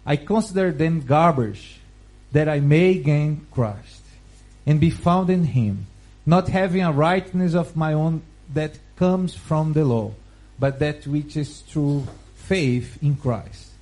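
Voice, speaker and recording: Male preacher, Brazilian Portuguese accent, non-native English, slightly higher voice, slow and careful Bible reading. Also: expressive, a little mellow, poor audio. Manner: slow, careful, halting phrasing with restarts.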